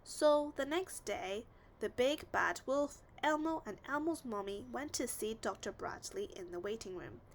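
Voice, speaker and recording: A woman reads a children's storybook aloud with expressive narration. Her voice is fairly high and very animated in pitch, recorded with faint noise in the background. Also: measured pace.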